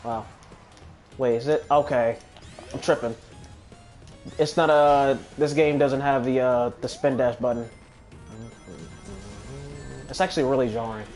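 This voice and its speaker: A man's voice: medium pitch, steady tone, measured pace, long pauses, a little mellow. Male gamer, mid-range voice, loose sweary play-by-play, game music behind. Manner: rambling, casual, swears freely. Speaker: gamer commentating on own play. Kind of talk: casual gameplay commentary. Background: video game music.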